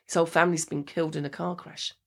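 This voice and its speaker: Very fast-talking woman, casual. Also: deep voice, flat delivery, creaky. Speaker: stand-up comedian being interviewed. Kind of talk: casual chat.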